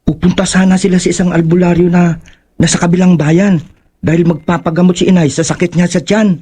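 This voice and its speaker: A worried young boy, voiced by a man in a radio horror drama, his voice pushed up high. He keeps pleading and sounds childlike. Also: little pitch movement, brisk, dark tone, husky.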